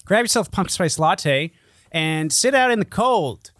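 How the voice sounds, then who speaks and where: A man with a slightly high, very animated voice, recorded close and dry. He hosts a podcast in loose comedic banter, sarcastic and teasing, and he swears freely. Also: normal speed, dry recording. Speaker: podcast host.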